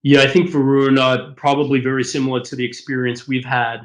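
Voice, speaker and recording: A man answering interview questions as a corporate executive, conversational and explanatory, with measured business phrasing. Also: medium pitch, level tone. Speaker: corporate shared services executive, interview guest.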